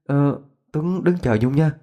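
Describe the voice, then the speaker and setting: Man putting on a high, stammering frightened student girl. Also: measured pace, mellow. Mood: timid pleading. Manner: stammering, pleading. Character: frightened, timid young female student in a ghost story.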